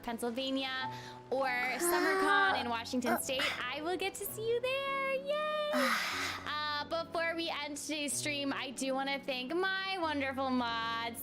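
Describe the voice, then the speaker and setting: A woman chatting casually with viewers on a game stream, self-deprecating and full of fillers. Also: high voice. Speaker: streamer and voice actor. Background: game music.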